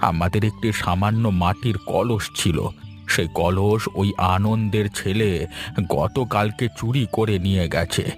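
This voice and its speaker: A man's voice: fairly low pitch, measured pace, soft background music, lightly acted. A man voicing a poor, humble old villager in a fairy-tale cartoon, deferential and plaintive. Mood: humble, troubled.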